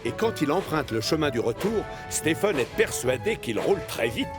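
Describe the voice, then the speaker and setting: Lively male cartoon storyteller. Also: fairly high pitch, moderate pace, over loud music. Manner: warm storytelling, playful. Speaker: narrator. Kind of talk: scripted children's cartoon narration.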